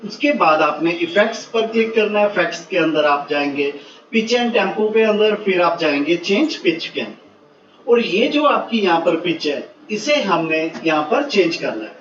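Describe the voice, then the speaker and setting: Male tutorial presenter, high voice, distant roomy mic, English tech terms mixed in, instructional. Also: expressive, moderate pace, fairly bright tone, slight background noise. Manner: instructional, peppered with English technical words. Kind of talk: step-by-step screen tutorial. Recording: muffled, roomy, distant mic, faint music.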